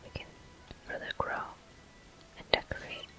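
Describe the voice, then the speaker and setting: A gaming host giving gentle, soothing ASMR commentary in an unhurried, breathy, unvoiced whisper.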